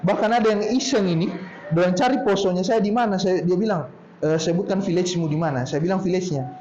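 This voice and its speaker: A man with a Poso accent tells stories to a group in a loose, easy way and stretches his words. His voice sits high. The sound is dull and lo-fi, with a roomy echo and faint ambience behind him. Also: warm tone, a little hiss or hum. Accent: Poso regional Indonesian. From Poso, Central Sulawesi, Indonesia. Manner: chatty, drawn-out storytelling tone. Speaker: speaker at a talk. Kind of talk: casual talk to a group.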